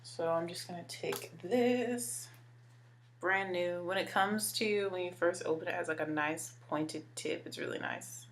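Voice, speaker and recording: Chatty young woman with a lowish voice. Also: measured pace, slight background noise. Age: 20s. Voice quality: deeper voice for a woman. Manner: chatty, filler words, talks while applying makeup. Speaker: beauty vlogger. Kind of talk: casual makeup tutorial chat.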